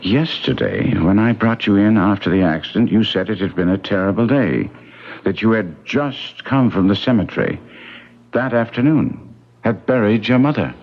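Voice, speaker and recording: A man playing a formal, inquisitive and protective gentleman lawyer who also narrates, in old-time radio drama style. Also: lowish voice, animated, normal speed. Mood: probing, concerned. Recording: muffled vintage radio recording with music.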